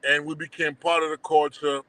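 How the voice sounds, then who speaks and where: A middle-aged man with a Haitian accent, guest on a casual livestream interview, telling animated stories full of slang and swearing. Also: on the high side, fairly quick. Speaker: guest, documentary producer.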